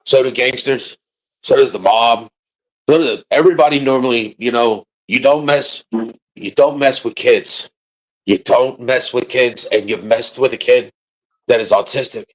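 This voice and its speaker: A father answering interview questions, halting and rambling at times and rising to forceful defiance at others. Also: medium pitch, no room sound, lo-fi sound. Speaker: father of a missing child, interviewee.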